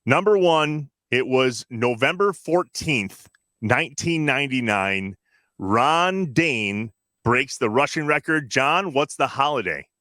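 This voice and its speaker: Bright, animated man in his thirties. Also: medium pitch, slow pace, dry recording, clean studio sound. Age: 30s. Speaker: podcast co-host. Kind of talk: casual sports banter.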